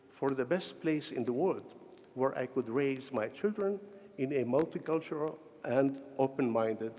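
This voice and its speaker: A middle-aged or older man from Syria with a Syrian Arabic accent gives a formal, prepared ceremonial speech in measured, deliberate short phrases. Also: mid-range voice, darkish tone. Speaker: honorary doctorate recipient giving convocation address. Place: large convocation hall, speaking to graduates. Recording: echoey large hall with long reverb.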